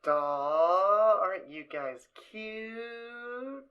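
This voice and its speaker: A woman's voice: mid-range voice, expressive, drawn-out words, clear, resonant tone, highs cut off, pitched above the performer's own voice. A woman voicing a mocking, teasing earth pony mare in a fan audio drama, with a sing-song manner.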